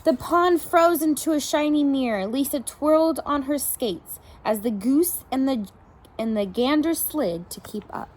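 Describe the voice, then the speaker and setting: A woman preschool teacher reading a picture book aloud to young children, in an animated storytelling style with asides to the listeners. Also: high voice, rough recording. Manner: pauses to comment and question the children.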